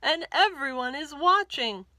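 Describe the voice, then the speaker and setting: A woman's voice: high voice, expressive, a little slow, bright, forceful tone, dry recording, clean studio sound, voice pushed up, exaggerated voice. A woman voicing a boastful but nervous male storybook hippo in an emphatic, earnest way. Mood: panicked.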